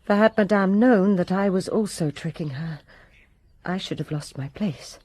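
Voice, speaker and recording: A woman's voice: lowish voice, moderate pace, darkish tone, husky, no room sound. A woman playing a resentful lady's maid who gives her testimony with bitter emphasis in a radio drama.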